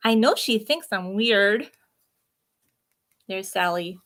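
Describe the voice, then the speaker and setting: A woman's voice: mid-range voice, lively intonation, slow, fairly bright tone. A woman hosting children's story time, reading a storybook expressively with simple asides and questions for young listeners.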